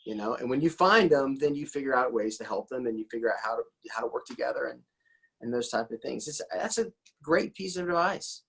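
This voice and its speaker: A man's voice: mid-range voice, expressive, a little fast, gravelly, fairly bright tone, breathy edge, rough recording. A man hosting a casual interview in an encouraging, enthusiastic, rambling conversational way, often repeating his words. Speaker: interview host.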